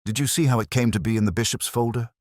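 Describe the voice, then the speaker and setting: A man voicing a calm, shrewd police inspector who talks quietly and probes lightly. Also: mid-range voice, no room sound, clean studio sound, voice pushed up, close to an everyday voice. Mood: calm probing. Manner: quiet, measured, lightly probing.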